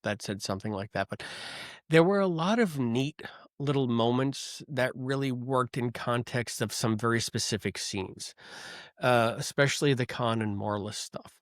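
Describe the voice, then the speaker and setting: A man co-hosting a podcast, recorded close and dry with no room sound. His voice sits at an ordinary middle pitch. He talks in a rambling, thoughtful way, circling back with ums and restarts. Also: normal speed, no room sound. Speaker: podcast co-host. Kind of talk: casual review chat.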